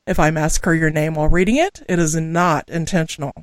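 A woman from Southwest Missouri with a local accent, co-hosting a podcast, chatting casually, laughing often and rushing through lists of names. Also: sits low, fairly even intonation, no echo, studio quality. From Southwest Missouri, USA. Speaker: podcast co-host. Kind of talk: casual chat and reading listener names.

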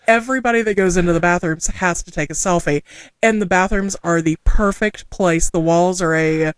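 A woman's voice: lowish voice, moderate pace, dry recording. Chatty Missouri woman, laughs often. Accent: Southwest Missouri. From Southwest Missouri. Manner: laughs often, chatty, trips over names. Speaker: podcast co-host. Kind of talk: casual chat.

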